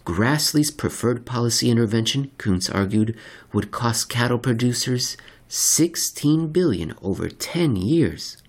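A man's voice: mid-range voice, lively intonation, measured pace. Man reading an article aloud, theatrically emphatic. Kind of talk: dramatic article reading, scripted narration.

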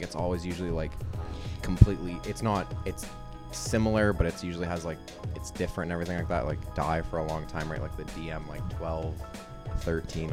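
A man on a casual panel, rambling with many fillers as he gives his opinion. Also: deep voice. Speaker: panel guest. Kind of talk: casual chat.

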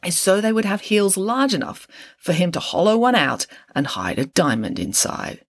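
A reader puts on a clever young girl detective for a storybook. She lays out each deduction in a calm, matter-of-fact way with a lively, swooping line and a slightly husky edge. The sound is dry and close. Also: medium pitch, normal speed, dry recording, pitched above the performer's own voice. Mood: explaining. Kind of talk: audiobook reading.

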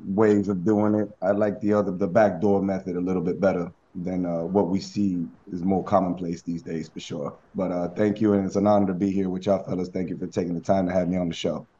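Low-voiced man on a dull call line. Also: fairly quick, few pauses. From United States. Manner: relaxed, easygoing storytelling with fillers. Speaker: guest rapper. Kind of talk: casual interview on a conference call. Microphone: conference call line.

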